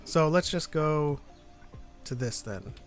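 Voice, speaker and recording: Guy with highish voice hosting a stream, stops and restarts, chatty, some music behind him. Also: lively intonation, slow. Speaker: live stream host. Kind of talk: casual chat, reading out live chat. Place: online group live stream. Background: background music.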